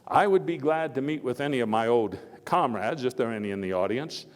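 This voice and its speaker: An actor playing a vigorous, patriotic elder statesman giving a folksy, emphatic, halting speech in a live historical reenactment. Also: mid-range voice, expressive, lightly acted. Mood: wry storytelling. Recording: echoey live hall, faint background noise.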